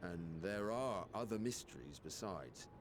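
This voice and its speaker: A man voicing a calm scholarly character in a game cutscene, explaining things in a measured way. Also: lowish voice, over music. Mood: patient.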